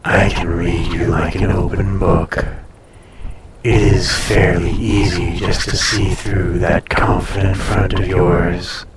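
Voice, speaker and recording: Man voicing a deep, slow, seductive ancient dragon. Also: flat delivery, reverberant, distant mic, a loud crowd. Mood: teasing, intimate. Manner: slow, soothing, teasing, often dropping to a breathy murmur. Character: ancient possessive dragon familiar, tender and seductive male. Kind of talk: intimate roleplay audio drama, personal attention.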